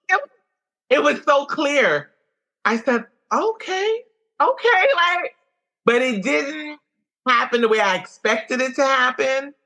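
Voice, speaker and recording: A middle-aged woman from Guyana, a comedian guest telling her story in a lively, conversational interview manner. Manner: animated, wide pitch swings when quoting herself.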